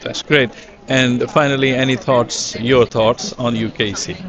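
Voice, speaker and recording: A man's voice: mid-range voice, steady tone, rough recording. Man interviewer amid expo crowd. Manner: slow, hesitant questions. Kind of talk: short interview questions. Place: busy education expo hall. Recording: crowd noise behind. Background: crowd chatter.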